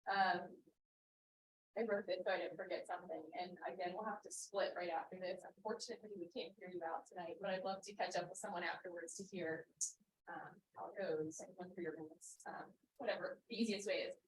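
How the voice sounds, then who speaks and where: A woman's voice: lowish voice, fairly even intonation, brisk. A woman in her thirties giving an earnest public comment at a board meeting, reading from notes with many ums. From Pennsylvania, US. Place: meeting room. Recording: echoey room, distant mic, faint hiss.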